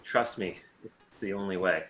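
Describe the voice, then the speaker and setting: A man's voice: sits low, moderate pace, music in the background, close to an everyday voice. A man playing an urgent, wary starship captain and agent in an amateur sci-fi table read, with clipped delivery. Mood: urgent, resolute.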